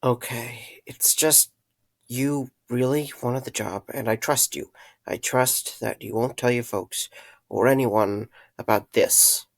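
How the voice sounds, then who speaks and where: A man acting an earnest, guilt-ridden man in an audio drama, with halting, serious delivery. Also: medium pitch, fairly even intonation, gravelly, no echo. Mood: hesitant. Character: earnest, guilt-ridden man confessing dark secrets to a friend.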